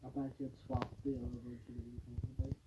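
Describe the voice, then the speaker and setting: A man's voice: mid-range voice, completely flat delivery, rapid-fire. A man in casual, teasing, sweary banter as a podcast guest. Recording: distant from mic, loud noise.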